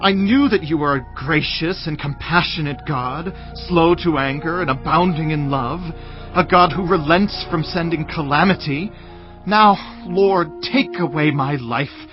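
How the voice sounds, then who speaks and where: An actor playing a fervent, anguished prophet in dramatized scripture, pleading and declaiming with passion. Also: male voice, fairly high pitch, natural voice. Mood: bitter, indignant. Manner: impassioned, pleading, drawn-out declamation. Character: anguished, fervent prophet praying and protesting to God. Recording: dull band-limited audio under clear music.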